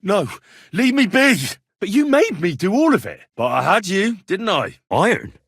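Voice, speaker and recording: Medieval game hero: young British commoner, earnest and inquisitive, husky, animated delivery, informal and plain-spoken. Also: male voice, high-pitched, normal speed, dry recording, studio quality, exaggerated voice. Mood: goading, exasperated. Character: earnest, curious young blacksmith's son in medieval Bohemia. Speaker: video game voice actor. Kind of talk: game dialogue lines.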